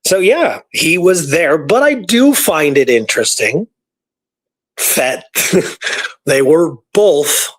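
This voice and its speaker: A man's voice: on the high side, big pitch swings, takes it slowly. A man hosting a history talk, telling stories casually and going through dates and records in detail. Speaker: podcast host presenting historical research. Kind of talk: casual storytelling conversation.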